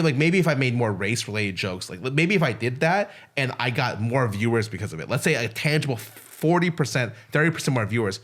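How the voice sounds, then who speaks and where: A man talking frankly on a casual podcast, swearing now and then. His pitch sits mid-range but swings up and down a lot as he talks. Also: a bit bright. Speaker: podcast co-host.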